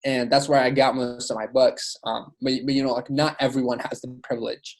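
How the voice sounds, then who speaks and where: A man's voice: mid-range voice, level tone, a little fast, low-quality recording. A young man, born in Iraq, talking informally and enthusiastically as a podcast guest, with lots of "like" and "you know". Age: young adult. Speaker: podcast guest, student author and educator. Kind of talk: casual interview talk.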